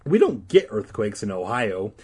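A man's voice: lowish voice, very animated, normal speed, a little mellow, husky, exaggerated voice. A man voicing a skeptical, matter-of-fact schoolgirl from a storybook, impatient with silliness. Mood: dismissive. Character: simple-spoken, skeptical schoolgirl, impatient with silly boys.

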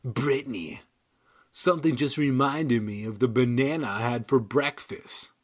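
A man voicing a rude ventriloquist dummy, male and British-sounding, with a shrill, harsh rasp. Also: a little slow. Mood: mocking.

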